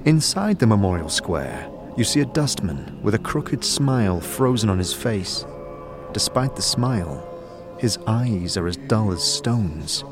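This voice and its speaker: A man with a low voice narrates a fantasy tale in scripted, second-person storytelling. Music plays clearly behind him throughout. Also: sing-song, unhurried.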